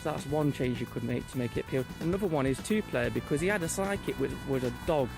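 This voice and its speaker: A man's voice: medium pitch, quick pace, vocal fry, music in the background. Man thinking aloud, restarting his phrases. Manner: repeating and correcting himself as he thinks aloud. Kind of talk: casual chat. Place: outdoors on a residential street. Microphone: phone or camera held at arm's length.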